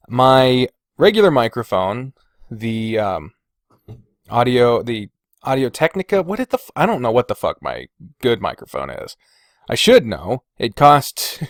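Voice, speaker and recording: Animated man rambling casually, dry close sound. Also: mid-range voice, no echo. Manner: self-deprecating, sweary, digressive. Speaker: podcast host and video creator. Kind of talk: casual rambling solo podcast chat. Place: indoors in a bedroom. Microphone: Blue Snowball omnidirectional USB microphone.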